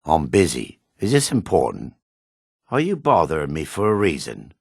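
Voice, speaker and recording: Low, raspy, haughty dark elf. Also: male voice, huge pitch swings, moderate pace, no room sound, studio quality, full character voice. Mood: dry, dismissive. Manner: curt, disdainful, suspicious. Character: gruff, haughty adult male dark elf rogue. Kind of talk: video game character dialogue.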